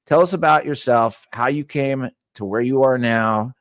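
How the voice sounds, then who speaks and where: Man, podcast host, laid-back rambling talk, mid pitch, dry sound. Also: moderate pace, no room sound. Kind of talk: casual interview talk.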